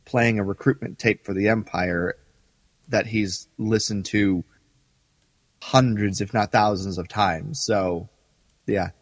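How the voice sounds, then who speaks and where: A man's voice: lowish voice, fairly even intonation, takes it slowly, long pauses, dry recording, big-room sound. A middle-aged man co-hosting a podcast in casual, conversational discussion. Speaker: podcast co-host.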